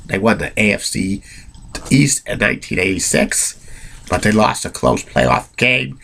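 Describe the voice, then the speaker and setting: A man's voice: lowish voice, very animated, measured pace, creaky, a bit bright, slight background noise. A man with a cold telling sports history off the cuff, rambling with many restarts and blunt opinions. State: has a cold. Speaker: amateur sports history narrator. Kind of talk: unscripted solo narration.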